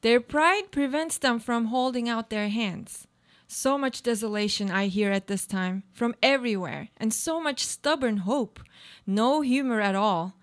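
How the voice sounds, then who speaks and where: A woman's voice: a little high, a little slow, lightly acted. A woman playing an aloof, self-absorbed woman in a live radio play, speaking formal, literary monologues. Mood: disdainful. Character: aloof, self-absorbed woman clinging to her comfortable life.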